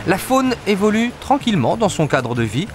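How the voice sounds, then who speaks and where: A man reading a scripted documentary narration in a measured, expressive way, recorded as a studio voice-over. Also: high voice. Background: music.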